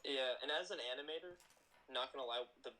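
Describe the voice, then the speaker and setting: A young man in his late teens, a guest who works as an animator, rambling through stories in a casual, excited chat. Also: mid-range voice, fast, a little hiss or hum, poor audio.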